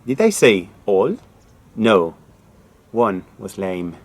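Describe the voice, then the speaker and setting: Low-voiced Spanish man reading aloud. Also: sing-song, slow pace, slight background noise. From Spain (La Mancha). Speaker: amateur volunteer reader. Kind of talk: reading verse aloud with informal introduction.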